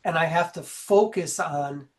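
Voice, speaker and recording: A man getting over a cold, a guest channeler explaining his views in a measured, emphatic way. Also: a little high. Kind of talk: casual interview chat.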